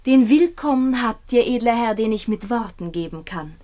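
An actress playing a gracious, devoted princess in a classical German radio play, speaking formally and with measured care. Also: female voice, a little high, expressive, dark tone, close to an everyday voice. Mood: gracious, tender.

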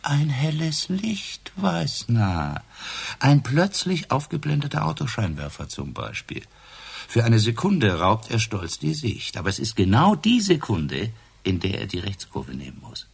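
An actor playing a hard-drinking, sardonic police inspector in a radio crime drama, accusing and probing with sarcasm and theatrical pauses. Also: male voice, medium pitch, wildly swooping pitch, creaky, husky, full character voice. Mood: probing, dramatic.

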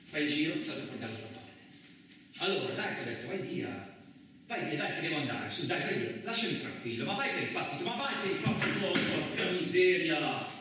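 Echoey, forceful, gruff trucker. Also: male voice, fairly high pitch, sing-song, a little fast. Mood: irritated, threatening. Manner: blustering, rambling, conversational, mildly swearing. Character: gruff, crude, curious male truck driver telling his story. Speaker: actor in a solo radio play. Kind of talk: colloquial theatrical radio monologue. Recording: roomy, echoing distant miking with faint noise.

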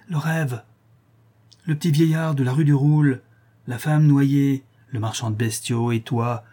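A man reading an audiobook, voicing a blind, brutal old male murderer who is haunted by his crimes and speaks with brooding, hushed menace. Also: medium pitch, husky. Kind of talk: audiobook reading of a classic novel.

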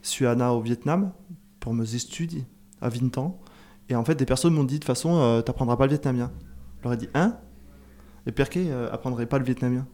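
A man in a casual radio discussion, talking in a hesitant, halting way with many fillers. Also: mid-range voice, warm tone. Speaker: radio show guest.

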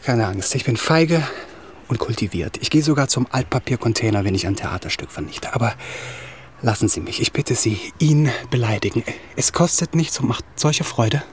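An actor in a radio drama playing a wounded, sarcastic younger playwright who talks wordily and trips over repeated words. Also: male voice, mid-range voice, expressive, moderate pace, slight background noise, close to an everyday voice. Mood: mock-polite menace. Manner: stammering repetitions, pointed sarcasm.

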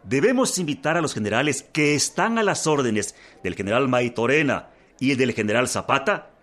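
Un actor que interpreta a un general cortés y persuasivo, que habla de manera formal y educada como enviado. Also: male voice, medium pitch, animated, moderate pace, a bit bright, lightly acted. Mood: persuasive. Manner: formal and polite. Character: courteous, persuasive army general, middle-aged. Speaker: envoy to the convention. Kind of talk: radio drama acting.

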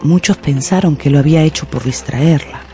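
A woman narrating a literary radio drama in an expressive, deliberate storytelling style. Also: deep voice, faint music behind.

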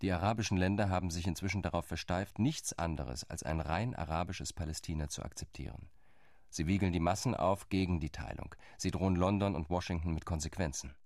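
A man's voice: sits low, fairly quick, darkish tone, dry recording, studio quality. A man playing a determined partition lobbyist. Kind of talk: radio play monologue.

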